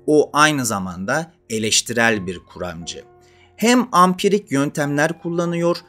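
A man around thirty presents an explanatory video essay. His mid-pitched voice swings widely as he stresses his points and puts questions to the listener. Music plays lightly behind him. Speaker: host of an educational channel. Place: small indoor studio with dark curtain backdrop. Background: faint background music.

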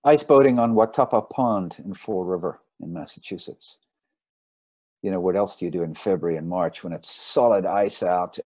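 Low, dark-voiced man on a video call. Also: normal speed, no echo. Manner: rambling, relaxed, with fillers and restarts. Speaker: marine photographer giving a lecture. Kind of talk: casual slideshow talk. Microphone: video call mic.

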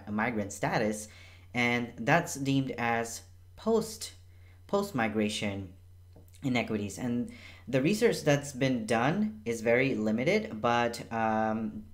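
A young man born in Bangladesh, a physician, giving a casual and earnest health explainer from a small room at home. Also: mid-range voice. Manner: casual, chatty and earnest, with emphatic repeats. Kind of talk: educational health vlog.

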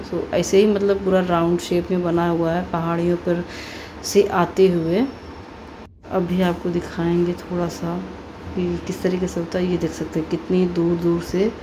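A woman's voice: fairly low pitch, noisy background. A woman and mother narrating her family's train journey in a loose, chatty travel-vlog style, mixing in English words and fillers.

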